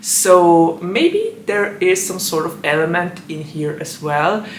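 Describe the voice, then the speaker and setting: Slow, bright, slightly raspy woman. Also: on the low side, a little hiss or hum. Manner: giggles often between phrases. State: hoarse from allergies. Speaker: book vlogger. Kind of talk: casual book vlog chat. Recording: echoey room.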